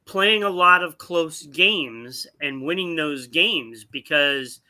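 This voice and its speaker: Slow, bright-voiced sports co-host man. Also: a little high, animated. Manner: deliberate, pausing mid-sentence. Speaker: sports talk show co-host. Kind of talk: live sports talk show chat.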